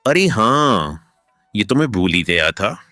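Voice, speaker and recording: Expressive village farmer acted by a man. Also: lowish voice, slow pace, no room sound, studio quality, full character voice. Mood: thoughtful, hopeful. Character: two earnest village farmer friends, adult men. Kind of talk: cartoon story dialogue. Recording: music behind the voice.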